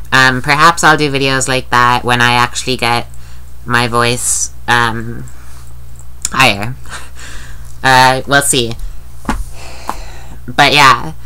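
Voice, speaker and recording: A woman's voice: extremely low pitch, pushed and bright, a little hiss or hum. A woman with a nasal voice, talking in a rambling, candid video diary and swearing casually. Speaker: vlogger.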